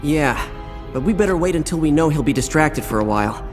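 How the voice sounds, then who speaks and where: A man's voice: on the high side, animated, brisk, close to an everyday voice. An actor playing a wisecracking teenage boy hero with a casual, sarcastic manner. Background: game music.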